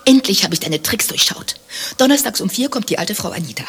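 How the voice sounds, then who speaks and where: Imperious husky wife, wildly animated. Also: female voice, medium pitch, a little fast. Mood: scornful. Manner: scornful, commanding, theatrically swooping delivery. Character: imperious, accusing wife who suspects her husband. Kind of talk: German satirical radio play acting.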